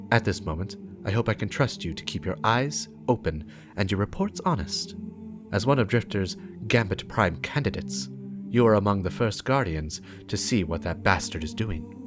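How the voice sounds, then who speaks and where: A low-pitched man playing a warlock investigator in a dramatic game-lore reading. The delivery is solemn, measured and cautionary, with a suspicious edge. Music plays clearly behind the voice. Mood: grave, urgent. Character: grave, suspicious warlock investigator briefing a guardian. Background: game soundtrack music.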